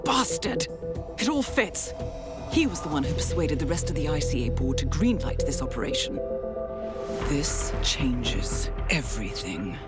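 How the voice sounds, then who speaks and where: A poised, expressive spy handler, music behind. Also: female voice, low-pitched. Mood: grave, alarmed. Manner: formal, measured, articulate. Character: poised, composed female handler briefing an assassin. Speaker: intelligence handler in a spy game. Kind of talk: scripted mission briefing and cutscene dialogue.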